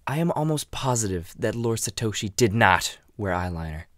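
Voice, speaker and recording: A woman playing a cool, sardonic young man in an amateur anime audio drama, deadpan and teasing. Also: a bass voice, normal speed, dry recording, studio quality. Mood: dry, mocking.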